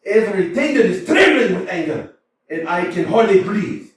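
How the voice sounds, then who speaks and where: A man's voice: high voice, animated, normal speed. Smirnov, a man playing a blustering, irate landowner. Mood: ranting. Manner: ranting, exclamatory, swinging between mutters and shouts. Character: irate middle-aged male landowner chasing a debt, blustering. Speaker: actor in a community staged reading. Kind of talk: staged play reading, theatrical. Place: small community theater stage. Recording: echoey theater from far off, faint hiss.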